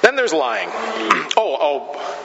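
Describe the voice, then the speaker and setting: A man's voice: on the high side, wildly swooping pitch, takes it slowly, background noise. A male pastor from the Pacific Northwest telling a story sermon, chatty and self-deprecating, laughing at his own stories. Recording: dull sound with long hall reverb.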